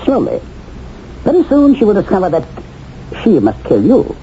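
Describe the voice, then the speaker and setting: An actor playing a suave, sinister man from a shadowy face-changing company, smooth and coldly persuasive, in 1970s radio drama style. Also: high voice, huge pitch swings, normal speed, dark tone, heavily acted. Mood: coolly menacing. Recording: muffled old radio broadcast, faint hiss.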